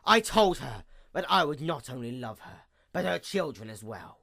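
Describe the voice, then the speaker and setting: A performer acting out a bitter pony goddess of the night, female and vengeful. The delivery of this villain monologue is grandiose and formal, with a mid-pitched voice that sweeps widely between fierce outbursts and hushed menace. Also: vocal fry, heavily acted. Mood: cold, menacing. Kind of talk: dramatic reading, theatrical villain monologue.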